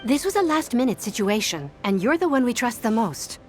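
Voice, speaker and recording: A woman's voice: a little high, sing-song, a little fast. A woman voicing an earnest, polite young secretary in a video game. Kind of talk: scripted video game dialogue. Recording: background music behind voice.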